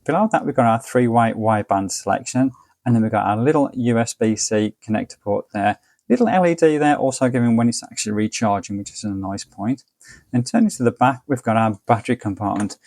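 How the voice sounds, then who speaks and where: A man's voice: mid-range voice, brisk, a little mellow, dry recording. A man presenting a casual product review, explaining details in a methodical, matter-of-fact way. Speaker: product reviewer and channel host.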